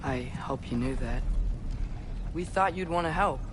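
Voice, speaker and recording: A man's voice: a little high, a little slow, background noise, lightly acted. An actor playing an earnest, eager teenage demigod boy in a video game. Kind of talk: video game dialogue acting.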